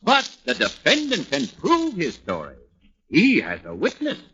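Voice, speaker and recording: An actor playing a fiery, sardonic American prosecutor orating to a jury in a 1940s radio drama. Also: male voice, high voice, huge pitch swings, a little slow, vocal fry, sharp tone, exaggerated voice. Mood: scornful, insistent. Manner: oratorical, rhetorical, sardonic. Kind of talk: 1940s radio acting, courtroom oratory. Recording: dull, noisy 1940s radio recording.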